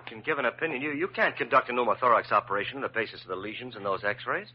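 A man's voice: medium pitch, rapid-fire, husky, natural voice. An actor playing an earnest, self-doubting young male doctor in a vintage radio drama, speaking in a clipped way. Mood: insistent. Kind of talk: 1940s radio acting. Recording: dull vintage radio recording.

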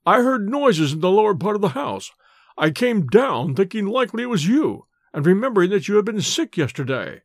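A man's voice: high voice, very animated, no room sound, studio quality, pitched above the performer's own voice, full character voice. A man acting a dazed, faltering manservant. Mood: pained, earnest. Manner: halting, faltering, deferential.

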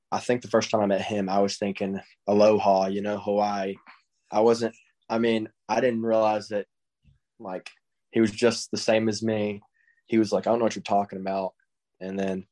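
A man's voice: fairly low pitch, warm tone. A teenage boy from Texas with a Southern accent, answering interview questions in a laid-back way and saying "I mean" constantly. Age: teens. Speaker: guest, high school baseball recruit.

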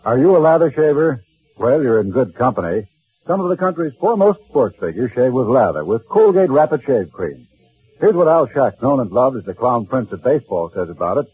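A man's voice: medium pitch, very animated, brisk, slightly rough. An American man reading a 1940s radio advertisement as an announcer, with a punchy, emphatic sales delivery. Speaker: commercial announcer. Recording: tinny old radio broadcast, faint music.

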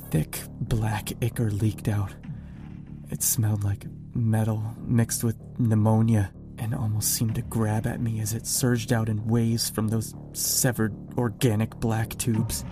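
Actor as grim low-voiced apocalypse survivor over music. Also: male voice, lightly acted. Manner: grim, profane, anguished. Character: panicked, grieving young man, survivor of a zombie apocalypse. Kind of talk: horror audio drama narration and acting.

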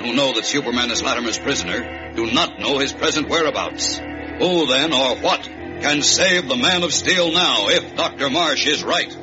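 Male vintage radio narrator, dramatic and suspenseful, dull recording, prominent music behind. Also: a little high. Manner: suspenseful, theatrical. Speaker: narrator, announcer.

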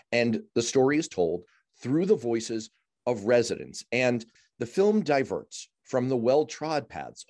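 A man hosting a radio talk show, introducing his guest in a measured, courteous way. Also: fairly low pitch, darkish tone. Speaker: radio and podcast host. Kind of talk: radio interview.

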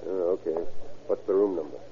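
Low-voiced American undercover cop, played by an actor. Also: male voice, fairly quick, dull audio, natural voice. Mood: cool, businesslike. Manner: casual, slangy, assured. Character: easygoing, shrewd male undercover policeman. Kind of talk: 1940s radio acting. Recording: old radio recording, thin, faint noise.

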